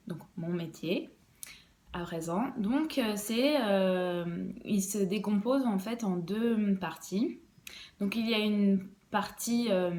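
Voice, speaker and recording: A woman's voice: mid-range voice, darkish tone. A young woman, an embroidery designer, explaining her work in a casual podcast interview, hesitant and full of fillers and restarts.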